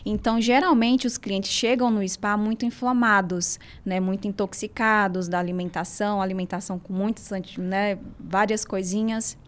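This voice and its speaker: A woman with a Northeastern Brazilian accent answers interview questions as a physiotherapist. Her pitch sits in a middle range for a woman. She explains in a measured, steady way and keeps tacking tag questions onto the ends of her sentences. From Brazil, Ceará. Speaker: guest physiotherapist.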